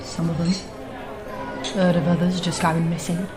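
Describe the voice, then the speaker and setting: A woman's voice: on the low side, level tone, moderate pace, lightly acted. A woman playing a shrewd, gossipy tavern keeper in a fantasy audio drama, talking in a colloquial, conspiratorial way. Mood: grave, confiding. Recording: music and tavern crowd behind the voice. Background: music, tavern crowd.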